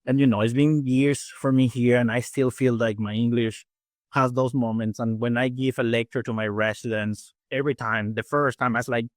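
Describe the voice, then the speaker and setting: A man in his forties from Peru with a Peruvian Spanish accent, Spanish being his first language, chatting as an interview guest, talking fast with many restarts and fillers. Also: mid-range voice, fairly even intonation, no room sound, studio quality. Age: 40s. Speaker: guest dentist and faculty. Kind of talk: casual interview chat.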